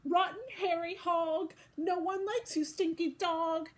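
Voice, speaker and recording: A woman's voice: extremely high pitch, fairly even intonation, slow, pitched above the performer's own voice. A woman voicing an aggrieved, melodramatic male toothbrush in a children's storybook. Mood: taunting. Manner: sobbing, melodramatic complaining. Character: whiny, indignant male toothbrush, aggrieved and dramatic. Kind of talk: children's storybook read-aloud.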